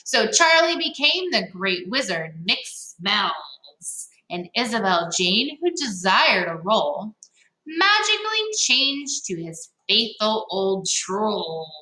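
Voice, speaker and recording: A woman reading a storybook aloud to children, with expressive, dramatic emphasis and playful asides. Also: medium pitch, takes it slowly. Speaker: read-aloud host for children. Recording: echoey, roomy sound.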